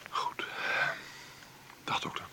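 An actor playing the anguished, tender grown son of a dying mother, speaking in a gravelly, hushed, faltering voice for a radio drama. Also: takes it slowly. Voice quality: gravelly, whispery. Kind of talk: intimate radio drama acting.

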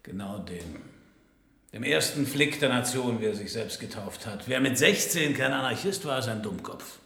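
An actor playing a dry, wisecracking middle-aged private detective in a radio crime drama. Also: male voice, medium pitch, expressive, normal speed, gravelly, a bit bright, roomy sound. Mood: mocking. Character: sardonic hard-boiled middle-aged male private detective, former anarchist. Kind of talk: radio crime drama dialogue and first-person narration.

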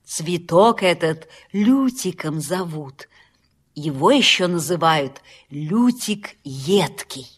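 Slow, husky, gentle mother deer. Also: lowish voice, expressive, exaggerated voice. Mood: patient, cautioning. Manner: soothing, patient, instructive. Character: gentle, caring mother deer teaching her young. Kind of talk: children's audio fairy tale acting.

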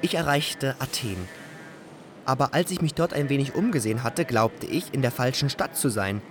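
An actor playing a young refugee with wry, fatalistic wit, narrating in a radio drama. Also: male voice, medium pitch, animated, normal speed, over music, close to an everyday voice. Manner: wry, ironic.

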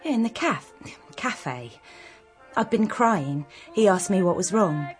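Defensive young widow with lively pitch actress. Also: female voice, a little slow, faint music behind, lightly acted. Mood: defensive, wistful. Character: defensive young widow, former cafe waitress. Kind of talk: radio drama acting.

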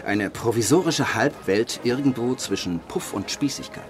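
An actor playing a wry, detached screenwriter who narrates a radio drama in a measured, dry, literary way. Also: male voice, medium pitch, natural voice. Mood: reflective. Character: male screenwriter narrating his postwar schemes, wry and detached. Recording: studio radio play over music.

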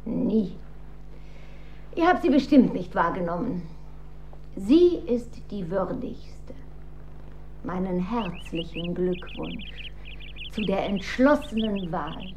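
An actress playing an imperious, manipulative dowager empress in a German radio drama, speaking in a formal, stately and measured manner. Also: female voice, medium pitch, animated, darkish tone. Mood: stately, insistent. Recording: dull recording with faint noise.

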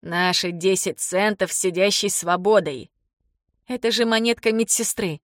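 A woman's voice: medium pitch, moderate pace, no room sound, clean studio sound, natural voice. Hesitant, devoted grown daughter, voiced by a woman. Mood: unsure. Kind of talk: audiobook character dialogue.

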